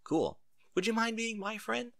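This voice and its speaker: A man's voice: sits high, expressive, a little slow, creaky, a bit bright, dry recording, pitched above the performer's own voice, heavily acted. A man voicing a polite, friendly female athlete pony in a story reading, talking plainly. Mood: friendly, curious.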